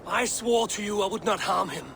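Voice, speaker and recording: Proud, brooding Norse protector god for a video game: threatening, solemn, loud ambience behind. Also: male voice, high voice, normal speed. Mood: accusing. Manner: solemn, archaic, threatening speech. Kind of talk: video game dramatic acting. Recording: clear background ambient noise.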